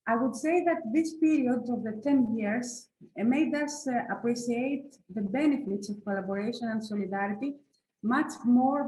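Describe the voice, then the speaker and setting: A woman from Greece with a Greek accent, speaking English as a museum director at an online conference, measured and hesitant with fillers. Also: a little high, mellow, roomy sound, poor audio. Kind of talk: prepared conference talk. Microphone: video call.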